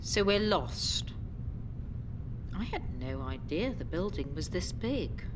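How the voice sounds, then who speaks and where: An actress playing a stubborn elderly care home resident who believes in conspiracies, in an audio drama. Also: female voice, lowish voice, very animated, takes it slowly, background noise. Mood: bewildered. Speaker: voice actor.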